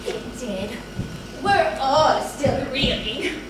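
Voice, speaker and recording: A woman's voice: high-pitched, lively intonation, drawn-out words, heavily acted. A woman playing a melodramatic bereaved fiancée with an overwrought, swooning delivery in a staged farce reading. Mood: grieving. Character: melodramatic, secretly unfaithful bereaved young fiancée. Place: theatre staged reading, distant mic. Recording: very noisy echoey hall, distant mic.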